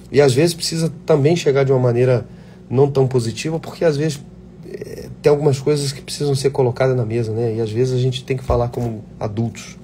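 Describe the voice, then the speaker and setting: A man's voice: medium pitch, dark tone, slight background noise. Blunt, passionate Brazilian actor man. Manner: blunt, swears freely, passionate. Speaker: actor giving advice to aspiring actors. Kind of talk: casual live chat answering viewer questions.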